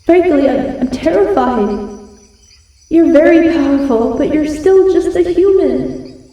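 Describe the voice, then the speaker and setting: A woman voicing a shy giantess wolf girl in a slow, hesitant ASMR roleplay. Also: sits high, expressive, lo-fi sound. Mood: nervous, earnest. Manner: slow, hesitant, stammering when flustered. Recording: heavily echoing, distant-sounding voice.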